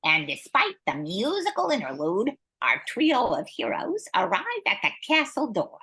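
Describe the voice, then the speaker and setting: A woman with a husky voice playing a storybook narrator in an amateur radio play. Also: medium pitch, huge pitch swings, moderate pace, pushed and bright, full character voice. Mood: storytelling. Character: storybook narrator, easily exasperated.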